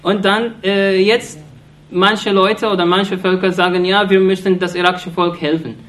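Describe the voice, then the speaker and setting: A man from Iraq gives an impassioned political speech in halting German, restarting phrases often and sounding earnest and accusatory. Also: high-pitched, level tone, fairly bright tone, slight background noise. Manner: halting non-native German, frequent restarts and fillers. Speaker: speaker addressing an audience.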